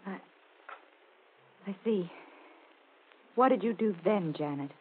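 A woman playing a gently probing reporter who asks questions in an old-time radio drama. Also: mid-range voice, animated, slow. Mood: probing, hushed. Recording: tinny, faintly noisy old radio recording.

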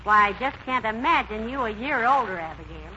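Sensible wife, muffled old radio. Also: female voice, on the high side, animated, fairly quick, bright, forceful tone. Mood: sociable, teasing. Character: sensible, patient wife with dry wit. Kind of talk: 1940s radio comedy acting. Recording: muffled, noisy old 1940s radio recording.

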